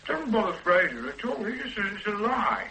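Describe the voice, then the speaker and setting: An actor playing a distracted, blunt police detective in a radio drama, speaking in a preoccupied way. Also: male voice, sits high, sing-song, brisk, bright, forceful tone, heavily acted, low-quality recording. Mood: puzzling. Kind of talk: 1980s radio drama acting.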